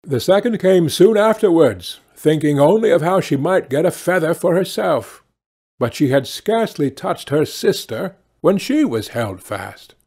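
Guy reading a fairy tale audiobook, kinda high voice, lots of up and down. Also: measured pace, husky. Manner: animated, storybook delivery. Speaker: audiobook narrator. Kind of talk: expressive scripted fairy-tale narration.

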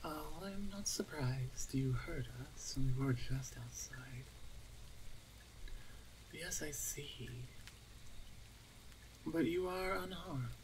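A woman voicing a reserved, dominant orc huntress in an ASMR roleplay, soft-spoken and measured, often slipping into a whisper. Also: sits low, background noise. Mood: concerned, measured.

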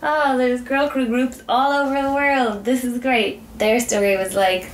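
A woman's voice: on the high side, fairly even intonation, unhurried. An Irish woman in her thirties telling stories casually as a podcast guest, chatty and often acting out imagined quotes. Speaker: podcast guest, community founder. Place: small indoor room with beanbags. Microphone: desk microphone. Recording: roomy, slightly distant, faint noise.

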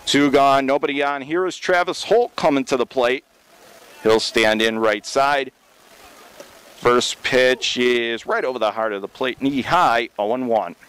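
An American man calling baseball play-by-play for radio from a stadium press box, in a measured, descriptive way with plenty of detail. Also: medium pitch, fairly bright tone, smooth, rough recording. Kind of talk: live sports radio play-by-play commentary.

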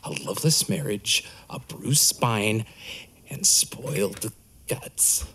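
An actor playing a resentful, intense husband sliding toward madness in a horror drama. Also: male voice, lowish voice, animated, slow, pushed and bright, a little hiss or hum, exaggerated voice. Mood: seething, unhinged. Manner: intense, embittered. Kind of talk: scripted audio horror drama.

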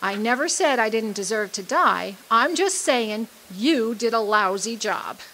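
Woman acting a brash, sassy, lively outlaw woman. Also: a little high, measured pace, a little hiss or hum, heavily acted, poor audio. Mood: blunt, needling. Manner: blunt, wisecracking. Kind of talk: stage radio drama acting.

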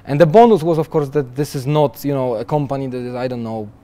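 A man's voice: medium pitch, fast, warm tone. A young man being interviewed at a conference booth, chatting freely with frequent restarts and repeated words and light laughs. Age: young adult. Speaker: guest software developer. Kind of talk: conversational interview answers.

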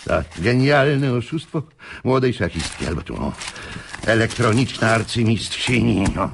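A man's voice: mid-range voice, sing-song, measured pace, creaky, noisy background. An actor playing a brash, scheming male chess coach in a radio drama, exclamatory and sarcastic, pestering and repeating words for emphasis. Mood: mockingly gleeful.

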